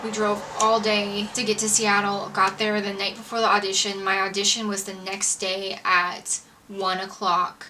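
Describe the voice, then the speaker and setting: A young American woman telling a personal story casually to camera, chatty and informal, like a vlogger. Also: mid-range voice. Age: young adult. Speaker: vlogger and singer-songwriter. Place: indoors, makeshift home filming setup. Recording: distant mic, roomy home video, background noise.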